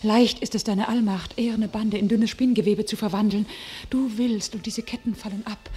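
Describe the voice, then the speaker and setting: An actress playing a devout young warrior maiden, speaking solemn, declamatory verse in a classic radio play. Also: female voice, medium pitch, fairly even intonation, moderate pace, mellow, slightly rough, lightly acted. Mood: fervent prayer.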